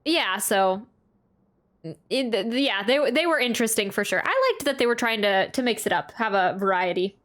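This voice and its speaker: Female game streamer, higher-pitched, casual reaction chat, loose and halting phrasing. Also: lively intonation, fairly bright tone. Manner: informal, rambling, halting.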